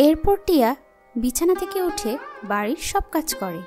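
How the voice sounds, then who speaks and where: A woman's voice: sits high, sing-song, normal speed, a little mellow, soft background music. A woman reading scripted storybook narration for a fairy-tale cartoon.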